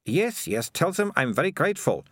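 A man's voice: fairly high pitch, sing-song, moderate pace, gravelly, a bit bright, no echo, studio quality, voice pushed up, exaggerated voice. A man voicing a haughty, impatient, sarcastic young girl in an audiobook, with a scornful, blasé manner. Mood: embarrassed, curt. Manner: scornful, blasé, drawling on mocked words. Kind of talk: audiobook character voice.

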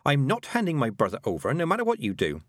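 A man voicing a stubborn, quick-witted nine-year-old girl in a storybook, plain-spoken and defiant. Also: mid-range voice, wildly swooping pitch, no echo, voice pushed up. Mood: quietly defiant.

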